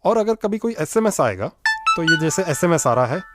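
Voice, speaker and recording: Man radio host, friendly explainer, a bit higher voice. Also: sing-song, moderate pace, loud music behind the voice. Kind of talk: scripted radio demonstration.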